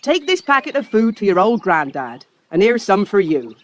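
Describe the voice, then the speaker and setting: Actress doing a kindly farm wife in an audio play, folksy storyteller, really swoopy intonation. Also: female voice, medium pitch, very animated, moderate pace, lightly acted. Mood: matter-of-fact, pitying. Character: kindly Cornish farmer's wife telling old tales. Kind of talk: audiobook drama acting.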